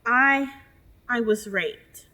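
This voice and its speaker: High-voiced young college woman, shaken, acted by a woman. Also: extremely slow, bright, forceful tone, heavily acted. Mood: distressed, hurt. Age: young adult. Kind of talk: readers theater monologue.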